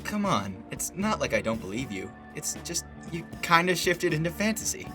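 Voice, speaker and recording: A man voicing a gentle, awkward young cartoon wolf in a hesitant, placating way. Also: on the high side, sing-song, moderate pace. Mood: gently placating. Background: loud music.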